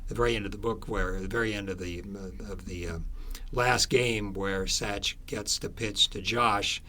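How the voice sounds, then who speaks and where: Male author interviewee, lowish voice, conversational, feels his way through explanations. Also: fairly even intonation, normal speed, pushed and bright, a little hiss or hum. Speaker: author being interviewed about his book.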